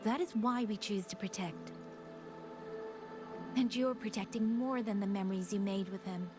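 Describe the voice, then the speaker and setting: An actress playing an earnest, compassionate young heroine in a video game, with gentle, deliberate phrasing over background music. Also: female voice, mid-range voice, close to an everyday voice. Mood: earnest, reassuring. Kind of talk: dramatic video game voice acting.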